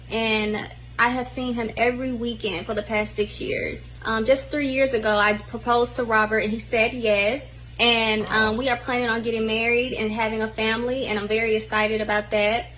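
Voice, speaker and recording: A woman's voice: fairly high pitch, bright, forceful tone, low-quality recording. A woman in her twenties making a personal statement in a played clip, earnest and hesitant. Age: 20s. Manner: plain and earnest, with hesitant fillers.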